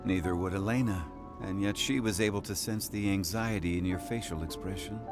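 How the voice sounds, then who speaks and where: An actor playing an erudite, haughty and curious fantasy-game scholar, with pompous, formal, archaic wording. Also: male voice, low-pitched, a little fast, over music. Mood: explaining. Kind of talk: video game character acting.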